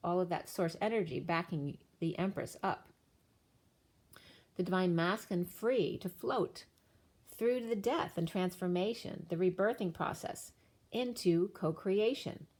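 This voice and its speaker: A woman's voice: fairly low pitch, measured pace, a little mellow. A woman doing a spiritual tarot reading, running through cards and numbers in a flowing, incantatory way, her voice wearing thin. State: losing her voice. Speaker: tarot reader.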